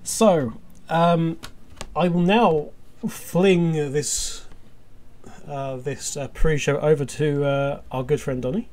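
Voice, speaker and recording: A man hosting a casual podcast pre-show, speaking in a halting, deliberate way. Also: a little high, fairly even intonation, a little mellow. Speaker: podcast host.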